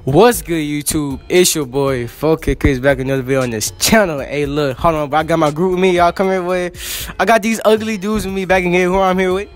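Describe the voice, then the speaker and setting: A man's voice: on the high side, fairly quick, few pauses, soft background music. Teasing teen boy hosting hallway interviews. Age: teens. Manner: joking, teasing, slangy. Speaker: student interviewer, channel host. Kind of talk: casual hallway street interview. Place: school hallway, indoors. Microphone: handheld phone mic.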